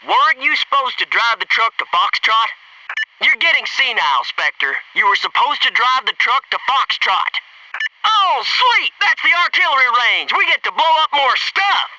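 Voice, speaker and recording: A man's voice: very high voice, very animated, moderate pace, far from the mic, noisy background. An actor playing a cocky, wisecracking male Navy SEAL squadmate in a military video game, talking in brash, punchy banter. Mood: joking, then gleeful. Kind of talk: scripted video game squad radio lines.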